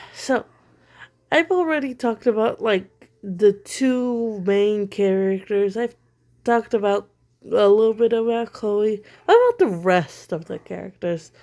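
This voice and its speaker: A woman's voice: medium pitch, lively intonation, slow, darkish tone. A woman who is a little sick, her throat still bothering her, giving blunt, critical opinion commentary at home. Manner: blunt and critical, with many fillers. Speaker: online commentator.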